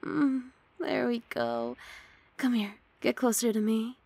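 A woman's voice: a little high, very animated, takes it slowly, creaky, mellow, no echo, full character voice. A woman playing a grumpy but affectionate young female roommate in an intimate ASMR roleplay, sardonic and huffy, speaking close to the mic. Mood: cozy, affectionate. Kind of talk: ASMR roleplay, intimate one-sided dialogue. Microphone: close studio mic, dry.

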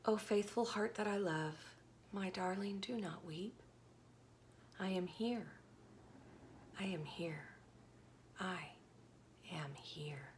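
A woman voicing a tender, loving male God who comforts a beloved soul in a soft, whispered, lulling way. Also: fairly low pitch, very slow, slight background noise, full character voice.

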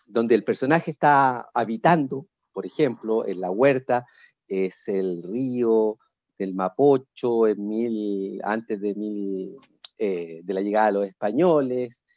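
A man's voice: medium pitch, darkish tone, no room sound. A middle-aged man with a Chilean accent, an actor explaining his craft expressively in an online panel. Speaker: actor in a theatre company. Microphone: video call. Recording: dull, dry video call audio.

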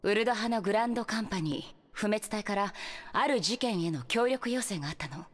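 A woman voicing a calm young leader of an adventurers' order in a Japanese video game, measured and explanatory. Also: mid-range voice, natural voice. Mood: composed, businesslike.

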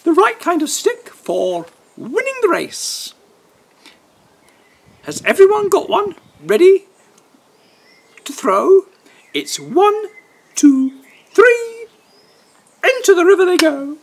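Man doing a very high, eager little girl. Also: wildly swooping pitch, slow pace, vocal fry, long pauses, full character voice. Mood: eager, excited. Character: eager young girl playing a throwing game.

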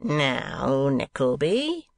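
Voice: mid-range voice, huge pitch swings, very slow, creaky, no echo, studio quality, full character voice. A performer voicing a vulgar schoolmaster from a Victorian novel, with coarse, uneducated speech and oily jocularity. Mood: gruff.

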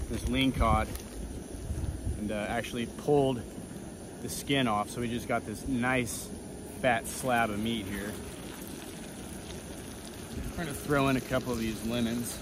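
A young man filming his own fishing and cooking vlog outdoors, talking to the camera with an enthusiastic, exclaiming manner. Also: medium pitch. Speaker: fishing vlogger. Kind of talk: casual outdoor vlog narration. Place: outdoors on a coastal jetty and grassy shore. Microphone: camera mic at arm's length. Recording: outdoor noise from wind and surf.

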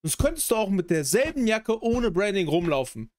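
A man's voice: high-pitched, sing-song, normal speed, a little mellow, slight background noise. A young man giving casual, opinionated reaction commentary in emphatic slang peppered with English phrases. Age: young adult. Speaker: reaction video host. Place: small home gaming room.

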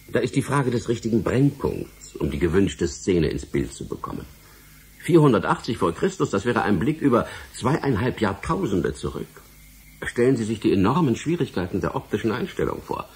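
Deep, husky, weary director. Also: male voice, sing-song, moderate pace, a little mellow, exaggerated voice. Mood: courteous, evasive. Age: middle-aged. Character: weary middle-aged male department director, wry and courteous. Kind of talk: German radio drama acting.

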